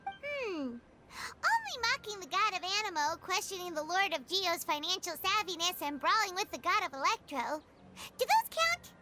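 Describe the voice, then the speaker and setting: Squeaky, tiny childlike fairy sidekick. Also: female voice, big pitch swings, normal speed, bright, forceful tone, heavily acted. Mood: sheepish. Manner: speaks of self in third person, exclamatory. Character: tiny childlike floating fairy companion, chatty and cheeky. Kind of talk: video game voice acting.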